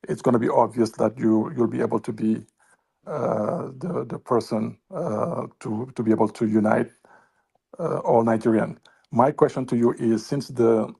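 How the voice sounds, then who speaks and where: A man from West Africa asking a long question from the audience, pausing often with "uh" and restarting his sentences. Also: mid-range voice, gravelly, darkish tone, slightly rough. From West Africa, Senegal or Mali. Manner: many "uh" pauses and restarts. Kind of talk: hesitant spoken question.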